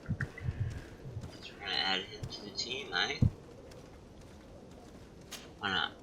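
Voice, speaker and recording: A man with a deep voice gives casual commentary as a gamer. He muses aloud in laid-back slang, set back from the microphone with loud noise around him. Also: steady tone, takes it slowly. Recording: distant mic, loud background noise.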